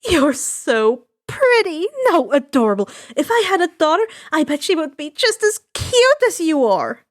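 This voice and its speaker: A woman voicing a brash, fierce middle-aged mother in a story reading, forceful and bossy. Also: sits high, big pitch swings, a little slow, pitched above the performer's own voice. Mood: gushing. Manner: forceful, bossy, then gushing.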